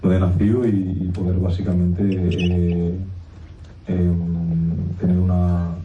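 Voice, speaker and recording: A man in his thirties from Spain answers press questions in halting phrases. His voice is low and very flat. It sounds distant and roomy, like a phone line. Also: slight background noise. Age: 30s. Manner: halting, searching for words. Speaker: footballer.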